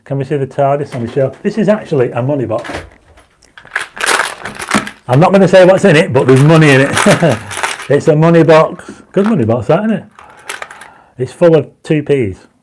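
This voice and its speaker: A man hosting a casual livestream, reading out viewer comments and pushing for likes, his mouth dry and his voice going croaky. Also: on the high side, lively intonation, moderate pace, pauses often, noisy background. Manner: reads viewer comments aloud, urges likes; enthusiastic when explaining stars. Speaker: livestream host. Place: home astronomy studio.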